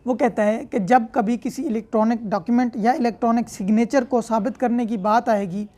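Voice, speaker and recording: Female law lecturer, Pakistani accent, highish pitch, teaches step by step. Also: fairly even intonation, brisk, mellow. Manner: explains step by step with everyday examples and frequent check-in tags.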